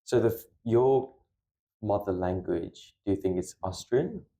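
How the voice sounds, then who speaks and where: A man's voice: on the low side, a little slow, vocal fry, dark tone, muffled recording. A man hosting a school podcast, reading a formal introduction and then asking interview questions. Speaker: podcast host. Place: school room. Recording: echoey room.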